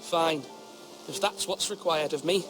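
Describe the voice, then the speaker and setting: Man playing an earnest, high-voiced boy lord. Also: measured pace, over music, natural voice. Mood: guarded resolve. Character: earnest boy lord, young, dutiful, trying to be brave. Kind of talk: dramatic video game acting.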